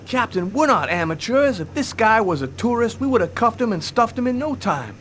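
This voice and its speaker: An actor playing an earnest, plain-spoken police detective in a video game drama, talking emphatically. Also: male voice, high voice, sing-song, brisk, fairly bright tone, a little hiss or hum. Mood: defensive.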